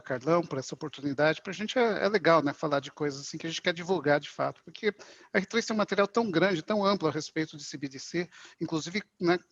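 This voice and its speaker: A man's voice: on the high side, breathy edge, no room sound. A man with a Brazilian accent presenting conversationally in a webinar, informal, often adding little checking tags. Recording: dull, lo-fi online call audio.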